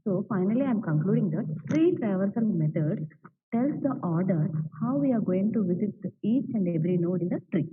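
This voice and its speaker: A woman with an Indian English accent teaching an online class, often asking "right?" to check that students follow. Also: medium pitch. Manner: repetitive, checks understanding with "right?". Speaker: lecturer. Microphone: video call. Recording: distant tinny online-class audio.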